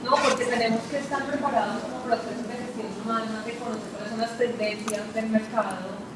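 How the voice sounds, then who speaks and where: A woman's voice: a little high, steady tone, brisk, over a noisy crowd. A woman in an audience answering a speaker's question in unscripted speech. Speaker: audience member. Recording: echoey hall, far from mic, noisy.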